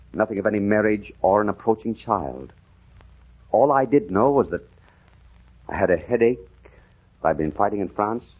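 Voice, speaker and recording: Deep, husky-voiced haunted gentleman. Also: exaggerated voice. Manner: halting, earnest, brooding, with dramatic pauses. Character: haunted amnesiac gentleman and war veteran recalling his lost past. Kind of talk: old-time radio drama narration. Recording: muffled lo-fi vintage radio recording.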